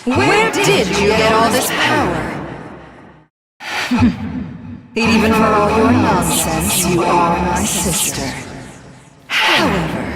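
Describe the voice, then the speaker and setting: A woman voicing an arrogant, sadistic alien warrior craving godhood in a video game, with theatrical, drawn-out taunts and a breathy, husky edge. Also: mid-range voice. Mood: condescending. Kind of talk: dramatic video game villain acting.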